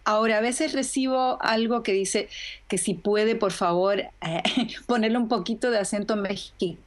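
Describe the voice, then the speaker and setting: A woman's voice: medium pitch, moderate pace. A middle-aged woman with a neutral Latin American accent, a bilingual voice-over artist answering interview questions candidly over a video call from a room at home. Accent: neutral Latin American Spanish. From Argentine and Puerto Rican roots, raised in Puerto Rico. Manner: candid, laughs often, restarts phrases.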